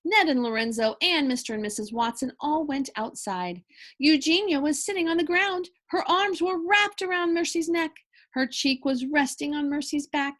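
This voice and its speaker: A woman reading a children's book aloud in an animated, deliberate storybook delivery. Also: sits high.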